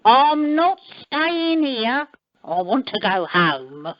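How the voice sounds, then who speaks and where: A woman's voice: high-pitched, big pitch swings, slow pace, no room sound, full character voice. A woman playing a stubborn, confused elderly grandmother in an indignant, contrary way, in amateur radio comedy. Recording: noisy, muffled telephone conference line.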